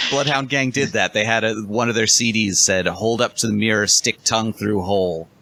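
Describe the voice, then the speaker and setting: A man's voice: lowish voice, fairly even intonation, normal speed, bright, forceful tone, dry recording. A man on a podcast panel in casual chat, wry and joking, breaking down songs in detail. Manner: wry, joking, analytical about music.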